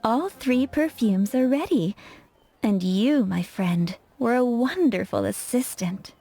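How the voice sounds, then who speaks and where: A flirtatious perfumer, high mellow voice. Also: female voice, expressive, slow pace, breathy edge, faint music behind, full character voice. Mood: poised, instructive. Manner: coquettish, instructive, poised. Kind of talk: English video game voice acting.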